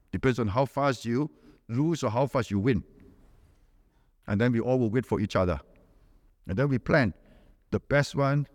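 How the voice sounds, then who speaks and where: A man's voice: no echo, clean studio sound. A man preaching a sermon, telling a personal story and building to emphatic, repeated lists. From Malaysia. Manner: repetitive emphatic lists, rising to forceful pitch.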